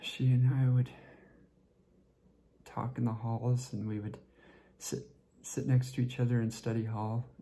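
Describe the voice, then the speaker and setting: A man performing a dramatic monologue as a tipsy, lovesick young man confessing to a friend, halting and repetitive, with a creaky voice that often drops toward a whisper. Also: medium pitch, warm tone, natural voice. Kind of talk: dramatic stage monologue rehearsal.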